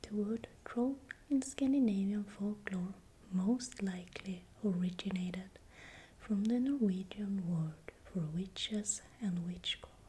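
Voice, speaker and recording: A woman with a Swedish accent whispering breathily and deliberately in short, soft phrases, as the host of an ASMR video. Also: medium pitch, slight background noise. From Sweden. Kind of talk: whispered ASMR, explanatory narration.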